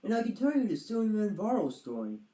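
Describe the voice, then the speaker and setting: Storybook boy adventurer: earnest, gentlemanly, pompous, animated delivery with some room echo, acted by a narrator. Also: medium pitch, fast, a little hiss or hum, pitched above the performer's own voice, close to an everyday voice. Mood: reassuring. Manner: pompous, proper.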